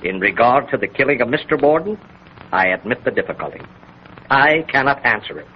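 A man's voice: mid-range voice, expressive, fairly quick, lightly acted. A man acting a stern professional man in a murder drama, declamatory and emphatic, in 1930s radio style. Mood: forceful, measured. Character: stern 1890s doctor and district attorney in a murder drama. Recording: muffled, noisy 1930s radio recording.

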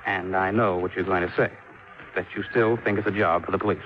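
An actor playing a serious, guarded chief insurance adjuster in a 1950s radio drama, speaking gravely and deliberately. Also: male voice, deep voice, fairly even intonation, natural voice. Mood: guarded, earnest. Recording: dull, noisy 1950s radio recording.

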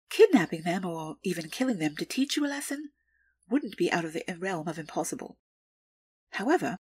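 A woman playing a calculating, deferential underworld information broker in an audio drama, with formal, measured, precise delivery. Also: fairly low pitch, darkish tone, slightly rough, dry recording. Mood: cool, deliberate.

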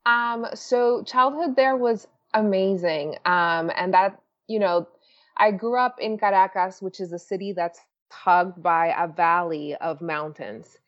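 Female guest from Venezuela, lower voice, cheerful and talkative, slightly lo-fi remote audio. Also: measured pace. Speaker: podcast guest. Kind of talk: casual interview.

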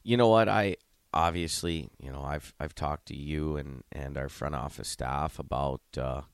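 A man's voice: a bass voice, moderate pace, clear, resonant tone, dry recording. A middle-aged man from Ohio, a head coach co-hosting a radio show, chatting casually and rambling with many restarts.

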